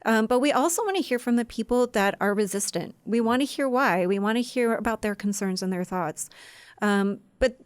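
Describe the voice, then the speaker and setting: A woman co-hosts a podcast with a smooth, resonant voice. She sounds upbeat and organized. The recording is dry and close. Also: a little high, normal speed, dry recording. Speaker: podcast co-host. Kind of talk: casual conversational podcast.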